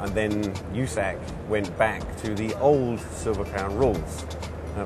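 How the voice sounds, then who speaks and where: Middle-aged man, low pitch, animated restarts, trade show interview, music bed. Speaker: racing series founder. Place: busy indoor trade show hall.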